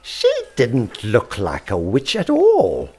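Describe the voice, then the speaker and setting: A man narrating a children's story in an expressive, theatrical way, lingering on alliterative words. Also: mid-range voice, slow pace, breathy edge. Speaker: author and storyteller.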